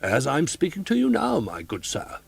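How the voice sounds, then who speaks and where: A man's voice: a little high, wildly swooping pitch, normal speed, vocal fry, husky, dry recording, voice pushed up, exaggerated voice. A man voicing a chatty, superstitious older woman who works as a theatre boxkeeper, talking earnestly. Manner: earnest, gossipy.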